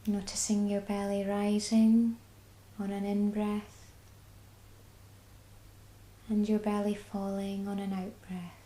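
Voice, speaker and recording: A woman with a Scottish accent guiding a body scan meditation, speaking slowly and soothingly. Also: medium pitch, completely flat delivery, roomy sound, low-quality recording. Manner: slow, soft and soothing. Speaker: mindfulness guide.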